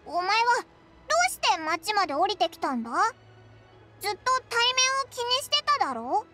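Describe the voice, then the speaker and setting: Tiny squeaky fairy sidekick, cheeky n suspicious, voice bouncing all over, anime game w music behind. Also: female voice, sing-song, brisk. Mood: curious, conciliatory. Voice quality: squeaky, childlike. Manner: brash, boyish informal speech, exclaiming. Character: small childlike floating fairy companion, cheeky, suspicious, blunt. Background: background music.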